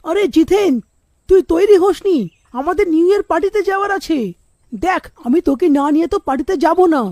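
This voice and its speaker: An eager young male student from a children's cartoon, acted by a woman. The voice is high and closely recorded. He is playful, excitable and stubbornly insistent. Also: a little fast, no room sound, studio quality. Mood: eager, curious.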